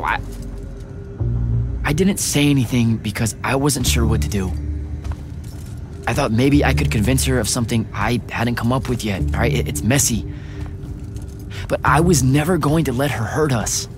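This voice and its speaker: A man's voice: medium pitch, close to an everyday voice. A man playing a stammering young hero. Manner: stammering, defensive, quick wisecracks. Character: young male battlemage hero, wisecracking. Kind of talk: video game cutscene acting. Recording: game audio with orchestral score.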